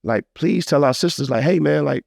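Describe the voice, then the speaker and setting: Male podcast host, very quick conversational delivery, emphatic and slangy, recorded dry. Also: a little high, gravelly, a little mellow, no room sound. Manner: emphatic, repeats phrases, slangy. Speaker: podcast host and music artist. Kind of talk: casual conversational podcast talk.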